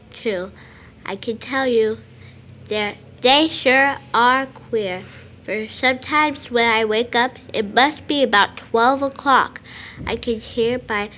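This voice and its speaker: A woman's voice: a little high, fairly bright tone, lo-fi sound, slight background noise. A woman playing a grumbling small child, puzzled by grown-ups, in an audio drama.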